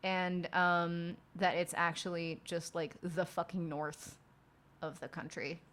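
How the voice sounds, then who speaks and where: A woman's voice: fairly low pitch, flat delivery, takes it slowly, a bit bright. A woman streamer and podcast host, chatting casually and rambling with lots of fillers and swearing as she works late at night. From outside the United States. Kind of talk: casual late-night chat while working.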